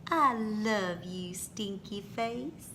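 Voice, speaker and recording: A woman voicing a tender, reassuring mother in a storybook, speaking in an affectionate, drawn-out sing-song. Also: medium pitch, lively intonation, sharp tone, a little hiss or hum, heavily acted. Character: doting, tender, reassuring mother of a small child.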